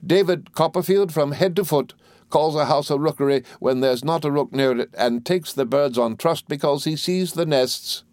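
Man voicing an imperious older English aunt, pitched up. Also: moderate pace, darkish tone, dry recording, lightly acted. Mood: scornful. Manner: emphatic, commanding, indignant. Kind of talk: audiobook character voice.

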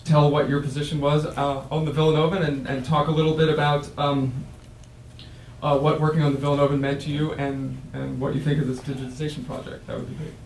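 A man moderating a university panel discussion, introducing guests haltingly with many fillers. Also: a little high, little pitch movement, darkish tone. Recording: roomy, distant mic with faint noise.

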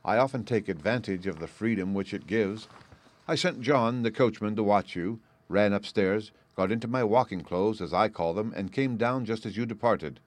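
A man reading out a letter written by a clever, composed woman, in a measured storybook voice. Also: lowish voice, lightly acted.

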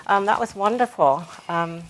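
A woman's voice: mid-range voice, moderate pace, very noisy. A woman from the West Indies interviewing a writer in conversation, building long, tentative questions with a self-deprecating manner. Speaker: interviewer, fellow novelist.

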